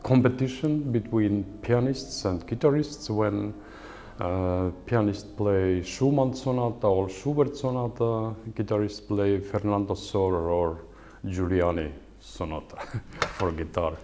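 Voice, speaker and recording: A man in his sixties with an Estonian accent, giving a lecture as a guitar professor in hesitant English with many fillers and pauses. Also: on the low side, dark tone, slight background noise. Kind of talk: lecture with halting non-native English. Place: lecture hall at a music academy.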